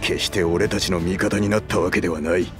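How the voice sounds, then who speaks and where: An actor playing a gruff middle-aged general in a video game, distrustful and firm, with rough, blunt speech. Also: male voice, low voice, fairly even intonation, a little fast, over music, natural voice. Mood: stern, insistent. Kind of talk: Japanese video game cutscene acting.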